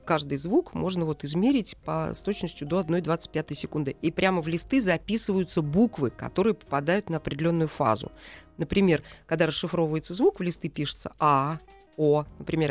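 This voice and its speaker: A woman speaking as an interview guest, explaining a craft step by step with examples. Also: low voice. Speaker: guest animation director and screenwriter.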